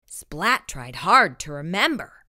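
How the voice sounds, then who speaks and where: A woman reading a children's storybook aloud expressively, with deliberate, dramatic pauses. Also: lowish voice, very animated, pushed and bright. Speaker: storytime host and narrator.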